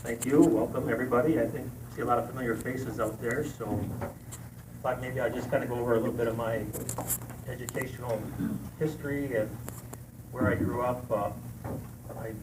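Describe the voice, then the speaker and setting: An older man from Wisconsin, a school board candidate, giving a relaxed, conversational forum speech. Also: medium pitch, brisk, pauses often. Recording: distant mic with room noise.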